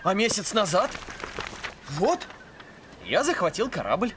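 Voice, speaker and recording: Young cartoon captain: male, mid-pitched, very lively, carefree, light music. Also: takes it slowly, slight background noise. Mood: breezy, protesting. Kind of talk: animated film acting.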